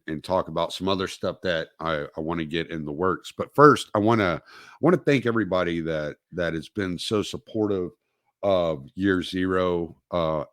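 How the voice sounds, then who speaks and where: A man hosting his own podcast, talking alone on a livestream in a halting way with many fillers and restarts, recovering from dental work. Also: deep voice, no echo, clean studio sound. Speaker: podcast host. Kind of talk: solo livestream talk.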